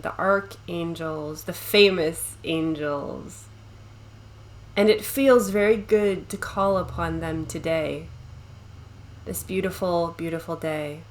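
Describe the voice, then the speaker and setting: A woman leading a guided meditation, speaking gently and soothingly with long pauses between short phrases. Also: on the low side.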